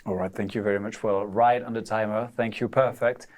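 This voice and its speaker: A man hosting an interview, prompting guests in a brisk, friendly way. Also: lowish voice, fairly even intonation, a little mellow.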